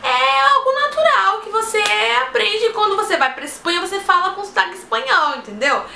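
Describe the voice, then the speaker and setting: Young woman vlogger, 18, Brazilian accent with European Portuguese mixed in, chatty and direct to camera, very high bright voice, echoey room at home. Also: animated, fairly quick, vocal fry, a little hiss or hum. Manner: chatty, talks straight to viewers. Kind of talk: casual talk to camera.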